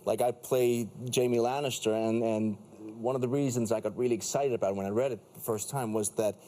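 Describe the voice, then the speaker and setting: A man's voice: mid-range voice, fairly even intonation, warm tone. A man whose first language is Danish, an actor guest on a talk show, chatting casually with frequent restarts. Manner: rambling, halting restarts. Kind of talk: casual chat.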